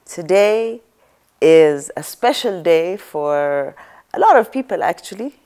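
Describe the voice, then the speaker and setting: A woman's voice: on the low side, expressive, takes it slowly, dark tone. A woman hosting a talk show, upbeat and encouraging as she introduces and questions her guest. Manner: upbeat, encouraging, brief affirmations. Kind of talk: interview hosting.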